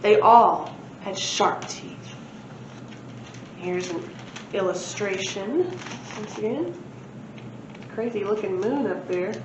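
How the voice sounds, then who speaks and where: A woman's voice: mid-range voice, lively intonation, takes it slowly, pauses often. A woman reading a children's story aloud as a library presenter, expressively, with casual asides. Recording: roomy, muffled, faint background noise.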